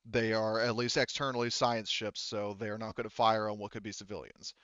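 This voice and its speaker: A man acts as game master in a loose, conversational style. His voice is smooth and clear, close and dry. He rambles, halts and swears freely as he rules on play. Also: on the low side, level tone, fairly bright tone, no room sound, lo-fi sound. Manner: rambling, halting, profane. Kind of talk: casual tabletop game chat.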